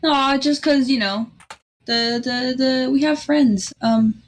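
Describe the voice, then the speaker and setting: A woman's voice: high-pitched, slow pace. A young woman from California, chatting playfully with friends on a voice call and laughing often. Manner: giggly, playful, teasing. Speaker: podcast co-host. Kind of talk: casual chat among friends. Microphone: own mic on a voice call.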